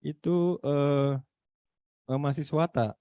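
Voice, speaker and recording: Dark-voiced man with a Makassar accent. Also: a little high, steady tone, smooth, no room sound. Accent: Makassar Indonesian. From Makassar, South Sulawesi. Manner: halting, many fillers and searching for words. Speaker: university lecturer. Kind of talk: online meeting remarks. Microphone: video call.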